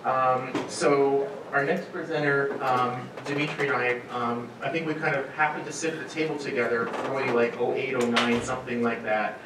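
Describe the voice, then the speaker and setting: A middle-aged man informally introducing a speaker at a conference, in a halting, wry way. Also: medium pitch, fairly even intonation. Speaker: event organizer introducing a speaker. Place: auditorium stage at a podium. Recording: echoey hall, distant, noisy room sound.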